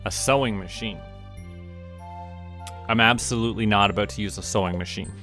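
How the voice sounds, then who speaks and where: A man from Canada streaming a farming game, talking to himself in a relaxed, muttering way as he plays. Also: lowish voice, brisk. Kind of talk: casual gameplay commentary. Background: game music.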